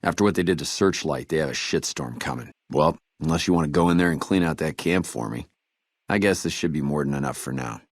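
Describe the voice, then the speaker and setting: An actor playing a weary, dutiful army trooper in a video game, speaking in a measured, earnest soldierly way. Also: male voice, very deep voice, dry recording, studio quality. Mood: somber. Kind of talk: video game dialogue acting.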